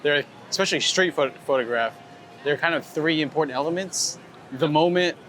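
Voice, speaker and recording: Male interviewer, slightly higher pitch, conversational, outdoor street with light traffic noise. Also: a little fast, fairly bright tone. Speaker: host interviewer.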